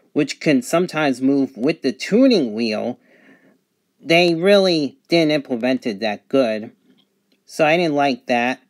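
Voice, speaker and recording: Man with a slightly high voice, reviewing casually. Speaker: gadget reviewer. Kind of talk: casual spoken product review.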